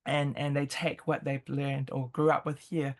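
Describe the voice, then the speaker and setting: A woman with a New Zealand accent talks in a conversational interview. She is reflective and picks through her thoughts in halting phrases, explaining as she goes. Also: a bass voice, flat delivery. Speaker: interview guest, intercultural expert.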